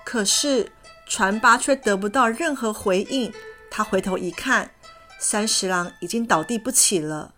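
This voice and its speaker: A woman narrating a children's story in a gentle, expressive manner. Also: mid-range voice, unhurried. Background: background music.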